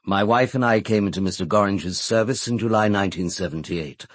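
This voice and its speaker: A British actor playing a formal, discreet, unflappable castle butler in a radio drama, with stiff, precise, deferential speech. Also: male voice, lowish voice, brisk, no echo, clean studio sound, natural voice. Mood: formal, guarded. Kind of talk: full-cast radio drama.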